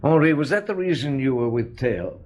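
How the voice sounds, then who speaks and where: An actor playing a patient, shrewd police inspector who questions people in a calm, dry manner. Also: male voice, mid-range voice, moderate pace. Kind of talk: radio drama acting.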